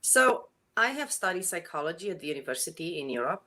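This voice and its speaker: A middle-aged woman with a Romanian accent speaks in a low, deep voice. She is animated and earnest as a guest in a casual interview, and she laughs readily. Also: quick pace.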